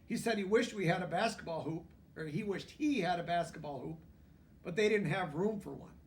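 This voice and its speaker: Guy with a pretty high voice reading a kids story out loud, bit far from the mic. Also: fairly quick. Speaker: storybook reader. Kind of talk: children's book read-aloud with brief asides.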